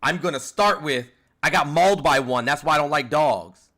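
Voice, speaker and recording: A man's voice: a little high, moderate pace, sharp tone. A man in his forties hosting a heated live-stream debate, lecturing a caller in a condescending way with many restarts. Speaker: host.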